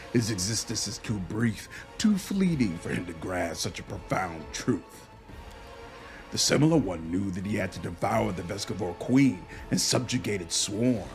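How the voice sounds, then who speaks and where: An actor playing an ancient, arrogant locust demon lord in a video game, rasping and speaking slowly and grandly. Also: male voice, on the low side. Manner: slow, grandiose, speaks of himself in third person. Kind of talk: video game villain acting.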